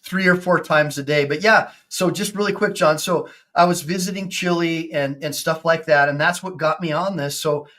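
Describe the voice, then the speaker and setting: A man in his fifties, a personal injury attorney hosting a casual video-call chat, excitable and full of stammering restarts. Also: a little high, normal speed, few pauses. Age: 50s.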